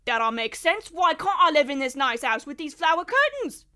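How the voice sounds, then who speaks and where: A woman acts out a scruffy little Cockney chimney sweep in a comic radio play. The voice is high and squeaky, childish and cheeky, delivering singsong patter as the daft sidekick. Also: sing-song, moderate pace, pushed and bright, exaggerated voice. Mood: childlike pondering. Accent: exaggerated Cockney. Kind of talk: comic old-time radio play acting.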